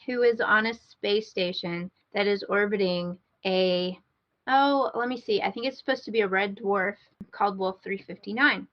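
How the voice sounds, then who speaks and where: A woman's voice: mid-range voice, lo-fi sound. A woman co-hosting a podcast in casual, unscripted chat, hesitating and repeating words for emphasis as she gives a recommendation. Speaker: podcast co-host giving a recommendation.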